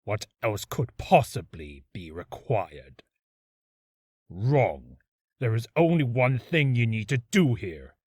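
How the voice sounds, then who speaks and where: A man's voice: medium pitch, sing-song, slow pace, darkish tone, breathy edge, no room sound, clean studio sound, pitched below the performer's own voice, full character voice. A man playing a menacing, imperious video game prosecutor with a commanding, contemptuous manner. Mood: cold menace. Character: menacing, imperious prosecutor demanding guilty verdicts, vengeful. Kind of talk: video game character acting.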